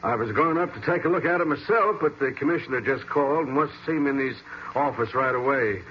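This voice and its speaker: An actor playing an easygoing, somewhat hesitant American police sergeant in an old-time radio drama. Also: male voice, medium pitch, fast talker, close to an everyday voice. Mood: casual, friendly. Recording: muffled, hissy vintage radio recording.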